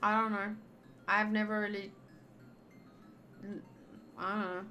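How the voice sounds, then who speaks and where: Woman game streamer, bright clear-cut voice, chatting n reading game text out loud, thinks aloud. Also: mid-range voice, little pitch movement, a little slow, soft background music. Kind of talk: casual visual novel playthrough commentary, reading text aloud.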